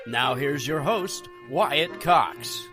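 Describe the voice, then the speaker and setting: A man reads a radio show intro very slowly, with lively intonation and a fairly high pitch. Music plays clearly behind him. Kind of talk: scripted radio intro voice-over.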